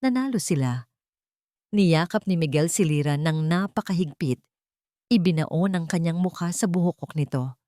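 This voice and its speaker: Low-pitched Filipino woman narrating drama. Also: expressive, normal speed, mellow, no echo, clean studio sound. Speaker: story narrator. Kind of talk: scripted dramatic narration of a love story.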